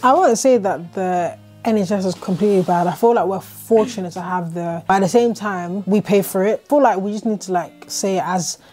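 A woman's voice: medium pitch, brisk, few pauses, a little mellow, soft background music. A woman in her twenties chatting casually at home, thinking aloud with lots of "like" fillers and restarts. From Britain. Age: 20s. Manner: reflective, talks in long runs with many "like" fillers and restarts. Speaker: YouTuber host. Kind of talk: casual chat. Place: bedroom, indoors.

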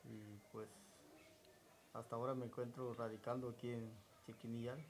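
A man in his forties from Chiapas with a southern Mexican accent, reminiscing in an interview in a halting, unhurried way. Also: mid-range voice, level tone. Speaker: interview guest. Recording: noticeable background ambient noise.

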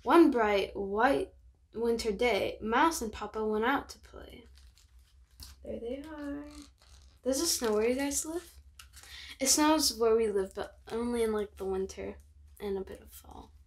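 A young teenage girl reading a picture book aloud, expressive and child-friendly, with chatty asides. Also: a little high, measured pace, long pauses. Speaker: host of a read-aloud channel. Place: bedroom, sitting on a bed. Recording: clear background noise.

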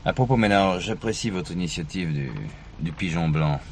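An actor playing a gruff, authoritative police commissioner in a French radio play, brisk and commanding. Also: male voice, low-pitched, natural voice. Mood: measured, probing. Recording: noisy archive drama recording, vehicle ambience.

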